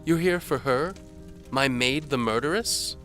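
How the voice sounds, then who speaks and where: A man's voice: medium pitch, measured pace, a bit bright, faint music behind, pitched above the performer's own voice, natural voice. A man voicing a haughty, melodramatic medieval noblewoman in an audio drama, with a callous streak. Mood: indignant.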